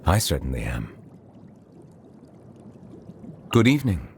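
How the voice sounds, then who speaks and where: A man playing a reserved, eloquent chief judge in a video game, speaking in a formal and measured way. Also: very deep voice, huge pitch swings, darkish tone, slight background noise, exaggerated voice. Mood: courteous, gentle. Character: formal, reserved male chief judge, secretly a water dragon. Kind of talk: scripted game character voice lines. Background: music.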